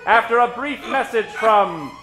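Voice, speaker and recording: With music behind him in an echoey space, a man acts as a mock-dramatic radio narrator. The voice is fairly high and theatrical, built on suspense. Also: lively intonation, a little slow. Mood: suspenseful.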